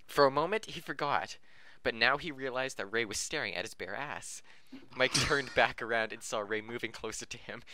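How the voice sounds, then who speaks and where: A man's voice: mid-range voice, moderate pace, hardly any pauses, bright, forceful tone, dry recording. A man reading fan fiction aloud on a podcast, stumbling over words and correcting himself, often amused and breaking into laughter. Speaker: podcast reader.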